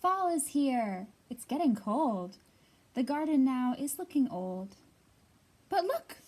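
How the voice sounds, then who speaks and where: A woman's voice: sits high, slow pace. Sing-song woman reading to small kids. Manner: sing-song, expressive reading with questions to the children. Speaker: children's librarian. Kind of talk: storytime read-aloud for young children.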